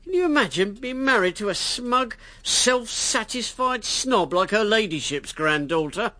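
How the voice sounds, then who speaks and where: A man's voice: sits high, animated, a little slow, sharp tone, dry recording, exaggerated voice. An actor playing a blunt, resentful working-class male politician in a radio drama. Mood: bitter, rankled. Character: John Gabriel, brash working-class politician, bitter about class.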